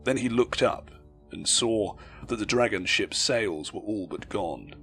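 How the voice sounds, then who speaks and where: A man giving grave, measured scripted narration of a dramatic fantasy battle story. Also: lowish voice, lively intonation, gravelly, a bit bright. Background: music.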